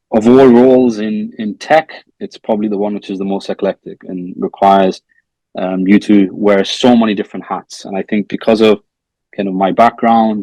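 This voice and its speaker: A middle-aged man from England, answering interview questions in a measured way, full of "um" and "kind of", over a video call. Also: no echo, poor audio. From Newcastle, northeast England. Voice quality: quiet, low-volume voice. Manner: measured, many fillers like "um" and "kind of". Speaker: interview guest, product manager.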